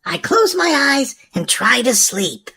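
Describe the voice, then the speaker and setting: Female children's storyteller: high voice, hugely varied intonation, expressive scripted read-aloud. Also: unhurried, vocal fry, slightly rough. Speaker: storyteller for a children's book channel.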